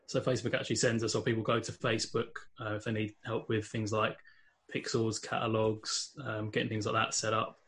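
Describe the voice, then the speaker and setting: Man, London accent, podcast guest, rapid, monotone, hesitant. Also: on the low side. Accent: London English. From England. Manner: fast, hesitant, many fillers and restarts. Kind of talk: casual interview talk.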